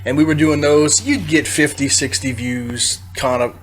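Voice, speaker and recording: A man's voice: on the high side, steady tone, moderate pace. A man co-hosting a casual podcast chat, who talks in a blunt and skeptical way. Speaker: podcast co-host.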